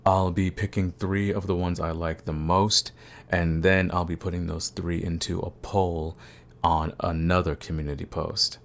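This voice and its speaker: Man, low pitch, gravelly fry, casual rambling update, channel host. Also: little pitch movement, measured pace. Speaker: audio roleplay creator, channel host.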